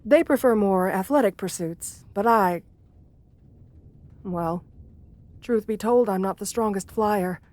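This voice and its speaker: Woman as a slow-spoken, shy gargoyle girl. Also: medium pitch, a little mellow, close to an everyday voice. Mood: gentle, wistful. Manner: hesitant, polite, old-fashioned formal diction. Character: shy, lonely, bookish female gargoyle, sweet and gentle. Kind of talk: romantic ASMR audio roleplay.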